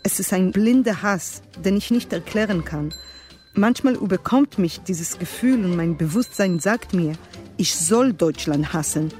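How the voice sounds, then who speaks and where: A woman's voice: medium pitch, animated, measured pace, a little mellow, faint music behind, close to an everyday voice. A woman playing a shaken, bitter letter writer confessing in a dramatic monologue.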